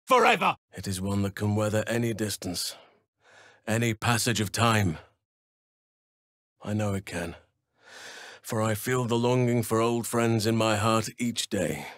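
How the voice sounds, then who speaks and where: An actor playing a big, earnest druid healer in a video game, solemn and gentle, with measured, formal, old-fashioned phrasing. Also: male voice, mid-range voice, no echo, studio quality. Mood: fierce, commanding, then solemn, reflective. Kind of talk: video game character voice acting.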